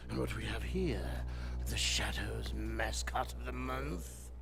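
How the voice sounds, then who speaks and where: An actor playing a big, fat, greedy crime boss in a video game, smug and menacing. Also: male voice, on the low side, gravelly, music in the background. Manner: slow, menacing, ending phrases with questioning grunts. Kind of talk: video game cutscene acting.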